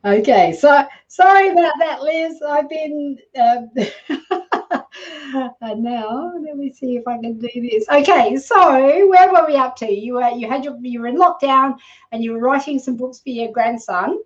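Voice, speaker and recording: Distant, slightly muffled woman interviewer, higher-pitched. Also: animated, a little slow. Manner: effusive, encouraging. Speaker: live show host. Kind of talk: casual interview chat.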